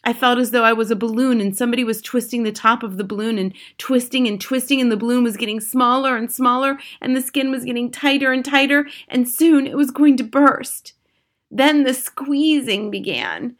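A woman's voice: fairly high pitch, normal speed, voice pushed up, close to an everyday voice. A woman voicing a frightened child who tells of a terrible ordeal in a dramatic first-person storybook reading. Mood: strained, horrified. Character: terrified young child hero telling of their ordeal.

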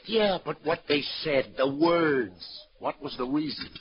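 A man's voice: a little high, unhurried, close to an everyday voice. Man acting a hardboiled radio police lieutenant, muffled old recording. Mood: probing, pressing. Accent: American. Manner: brusque, clipped, commanding, streetwise. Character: hardboiled, impatient male police lieutenant questioning a witness. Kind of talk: 1940s American radio detective acting. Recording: dull, crackly 1940s radio broadcast recording.

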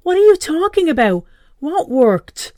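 A woman's voice: on the high side, lively intonation, moderate pace, no echo, studio quality, voice pushed up, close to an everyday voice. A woman voicing an exasperated, suspicious mother in a story she reads aloud. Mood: alarmed. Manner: indignant, demanding.

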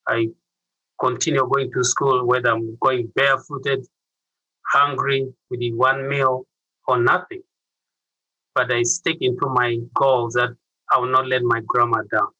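Bright-voiced middle-aged Ugandan man. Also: mid-range voice, little pitch movement, a little slow, long pauses, no echo, highs cut off. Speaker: interview guest, youth mentor. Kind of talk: personal storytelling in an interview.

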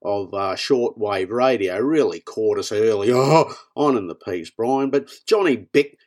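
A man with a fairly low voice delivers improvised comedy as a deadpan ramble. He speaks haltingly, repeating words and trailing off before picking up again. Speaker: comedy podcast co-host.

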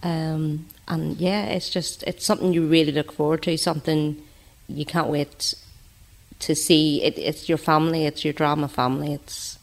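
Northern irish woman chatting on a radio interview, bit of a low voice, casual. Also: fairly even intonation, normal speed, creaky. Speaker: amateur drama group member, radio guest.

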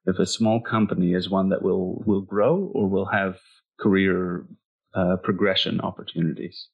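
Husky-voiced man interviewer, dull sound. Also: low voice, flat delivery, moderate pace. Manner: encouraging, repeats words when starting. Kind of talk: casual interview chat.

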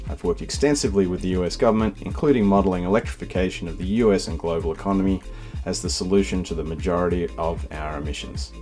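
A man's voice: sits low, a little mellow. A man from Sydney with an Australian accent, reading a scripted introduction to his own audiobook as its author.

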